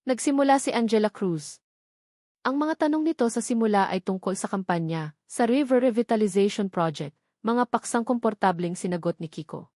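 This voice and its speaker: A woman's voice: mid-range voice, animated, normal speed, darkish tone, no room sound, studio quality. A woman with a Filipino accent reading a scripted, dramatic story as narrator.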